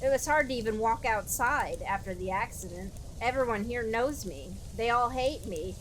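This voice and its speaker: A woman's voice: fairly high pitch, animated, normal speed, slight background noise, rough recording. A woman playing through a game with casual, wisecracking commentary, reading the text aloud, with music behind her. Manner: sardonic, wisecracking. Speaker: game streamer.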